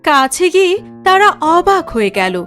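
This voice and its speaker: High-pitched woman narrating a fairy tale. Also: very animated, normal speed, a bit bright, soft background music.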